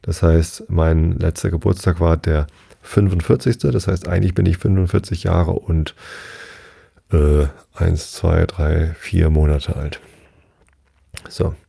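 A man in his forties talks through a relaxed solo podcast episode, wandering from point to point with plenty of fillers and restarts. His voice sits low and dark. It has a husky, gravelly edge. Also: slow pace. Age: 40s. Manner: chatty, rambling, many fillers and self-corrections. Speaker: podcast host. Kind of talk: casual solo podcast monologue.

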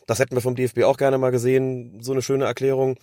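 A man, hoarse from a cold, giving long analytical explanations as a podcast co-host. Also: mid-range voice, level tone, fast talker, dark tone, dry recording, studio quality. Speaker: podcast co-host discussing refereeing. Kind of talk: casual podcast chat.

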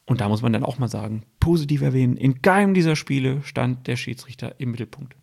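A man's voice: medium pitch, fast, vocal fry, darkish tone, husky. A man from Germany hosting a football podcast, chatty and jokey as he moves the talk between topics. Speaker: podcast host. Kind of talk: casual podcast chat.

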